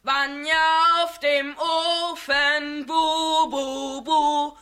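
Shrill cheeky village brat. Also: female voice, little pitch movement, very slow, bright, forceful tone, faint music behind, heavily acted. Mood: jeering. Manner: bawling, jeering. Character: cheeky village brat boy singing taunts. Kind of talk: mocking sing-song in children's radio drama.

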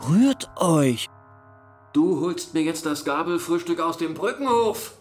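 A domineering village schoolmaster in a radio drama, irritable and commanding. His voice sits fairly high for a man, and music runs underneath. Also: expressive, slow. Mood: angry commanding. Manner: commanding, scolding, exclamatory. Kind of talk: German radio play acting.

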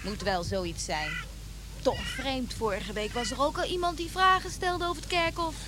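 A woman's voice: high-pitched, normal speed, background noise, close to an everyday voice. A woman playing a chatty, inquisitive villager in a radio drama, talking in a matter-of-fact way. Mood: curious, helpful.

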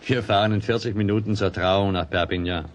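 An actor playing an elegant young French bridegroom in a radio play, boastful, impulsive and hot-headed, given to exclamations. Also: male voice, on the low side, steady tone, a little fast, close to an everyday voice. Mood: breezy, jovial. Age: young adult. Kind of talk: radio play dialogue acting.

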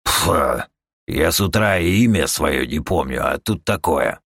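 Casting: man performing a grumpy, sluggish hard-drinking mercenary for an audiobook, low-voiced, laconic, dry sound. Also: expressive, a little slow, a bit bright, husky, no room sound, exaggerated voice. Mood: sluggish, grumbling.

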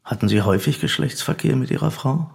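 An actor playing a formal, probing interrogator in a radio drama, questioning in a measured way with a gravelly, husky voice. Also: male voice, medium pitch, lively intonation, a little mellow. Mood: clinical. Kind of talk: German radio drama acting.

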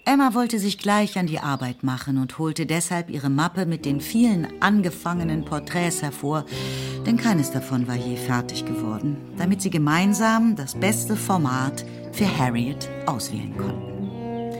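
Low-voiced woman narrating a radio drama. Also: expressive, measured pace. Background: faint music.

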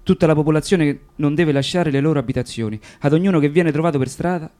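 An actor in a radio play voicing a man who reads out a stern wartime order in a formal, measured way. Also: on the high side, mellow, close to an everyday voice. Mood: cold, official. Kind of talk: dramatised reading in a radio play.

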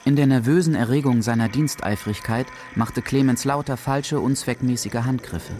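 A man narrating a literary radio drama in a measured, precise and theatrical manner. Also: medium pitch, noisy background.

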